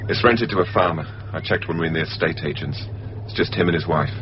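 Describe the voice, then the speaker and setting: An actor playing a quietly assured, scheming man in a radio drama. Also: rumbling low, quick pace. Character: scheming, film-obsessed man plotting a murder. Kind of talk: 1990s radio drama acting. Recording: muffled 1990s radio broadcast, noisy.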